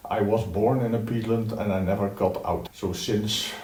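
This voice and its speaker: An older Dutch-born man with a low, mellow voice talks in a plain, direct manner, with a dry humor to his answers. He sounds set back from the mic in a roomy space. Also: steady tone, moderate pace, distant mic. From Netherlands. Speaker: interview guest, retired professor. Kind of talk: reflective interview answers.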